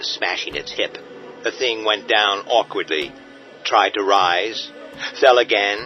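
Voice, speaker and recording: A man narrating a horror radio drama in a grave, measured way. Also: lowish voice. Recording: dull audio over loud music.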